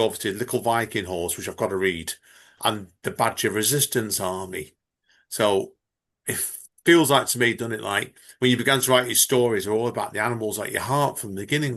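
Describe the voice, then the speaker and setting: A man's voice: medium pitch, fairly bright tone. Chatty man hosting a podcast. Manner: chatty, rambling, often laughing. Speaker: podcast host. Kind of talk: casual interview chat.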